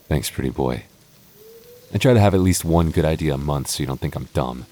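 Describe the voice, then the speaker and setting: A man playing a young goth boyfriend, protective, playful and easily flustered, in an intimate ASMR roleplay with halting, earnest delivery. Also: rumbling low, animated, mellow. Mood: playful, teasing.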